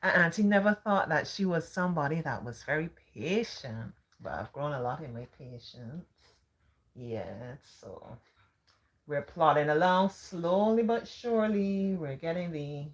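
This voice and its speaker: Barbadian woman, live-stream host; deep, calm, sing-song delivery. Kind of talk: casual live-stream talk.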